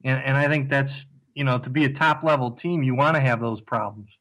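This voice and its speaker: A man with a mid-range voice talks football in an upbeat, measured way, often restarting his phrases as he goes. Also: mid-range voice, fairly even intonation, fairly bright tone, rough recording. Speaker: podcast panelist. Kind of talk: casual chat about football.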